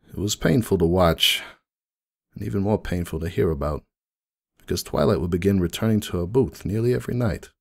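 A man voicing a jaded, rueful middle-aged pony who runs a donut shop, rambling folksily in a confiding dramatic monologue with a gravelly voice. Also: low-pitched, fairly quick. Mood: sorrowful, rueful. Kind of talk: dramatic first-person monologue reading.

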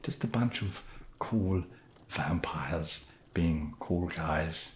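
A young man playing an eager vampire housemate with a put-on foreign vampire accent, soft-spoken, halting and deadpan in a comic ASMR roleplay. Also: sits low, reverberant, a little hiss or hum. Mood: awkwardly welcoming. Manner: halting, deadpan, awkwardly friendly. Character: awkward, eager male vampire housemate desperate to seem trendy.

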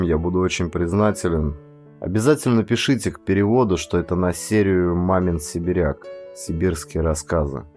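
A man's voice: low voice, darkish tone. A man, an audiobook reader, speaking directly to his listeners in a subdued, plain-spoken appeal. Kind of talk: channel support appeal to listeners.

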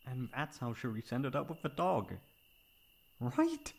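A man playing a caring, protective young best friend in an intimate ASMR roleplay, with a soft, breathy voice. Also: medium pitch, huge pitch swings, unhurried, exaggerated voice. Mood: affectionate, playful. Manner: intimate, comforting, casual. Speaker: voice actor.

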